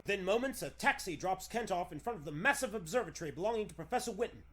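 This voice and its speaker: A man's voice: high-pitched, expressive, quick pace. A man announcing and narrating in the emphatic, theatrical style of a 1940s radio show.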